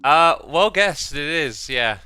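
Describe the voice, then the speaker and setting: A man with a slightly high, bright voice that is very clean and smooth, recorded dry and close. He chats casually as he hosts a gaming video, dropping in playful exclamations. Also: expressive, slow, no room sound. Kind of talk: casual gameplay commentary.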